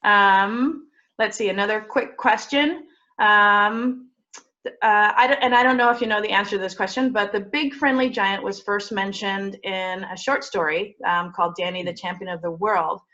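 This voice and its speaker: A woman's voice: medium pitch, steady tone, normal speed, bright, forceful tone, lo-fi sound. An American woman casually hosting an interview for children, with informal, hesitant phrasing. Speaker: library host, interviewer. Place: remote recording from home.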